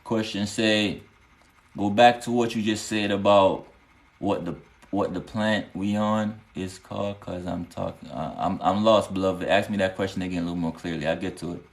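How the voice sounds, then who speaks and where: A man's voice: deep voice, moderate pace, echoey room. A man, teaching spiritual lore casually on a live stream and answering viewers, often asking "you know what I'm saying" and rising into emphatic bursts.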